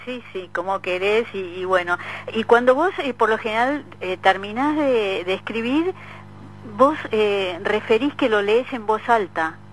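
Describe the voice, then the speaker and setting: A woman's voice: mid-range voice, animated, unhurried, breathy edge, rough recording. A woman with a Rioplatense Argentine accent asking questions conversationally as a radio interviewer, in a tentative way. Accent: Rioplatense Argentine Spanish.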